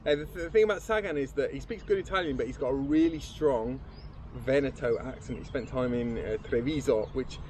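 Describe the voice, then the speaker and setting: A man's voice: mid-range voice, a little fast, a little mellow. A man co-hosting a podcast in casual chat, rambling and restarting as he explains things. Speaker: podcast co-host.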